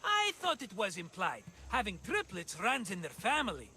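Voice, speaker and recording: A broke chatty merchant hustler from a video game, super bright voice, swoops around dramatically. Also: male voice, high voice, huge pitch swings, normal speed, full character voice. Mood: scheming, excited. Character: excitable scheming cheerful male merchant, always broke. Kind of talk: video game cutscene acting.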